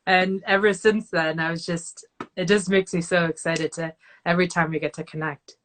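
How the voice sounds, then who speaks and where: A woman hosting a podcast with a slightly low voice. Her talk is effusive and heartfelt, often dropping into soft asides, over a video call. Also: steady tone, moderate pace, slight background noise. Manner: warm, effusive, trailing into softer asides. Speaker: podcast host. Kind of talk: casual interview.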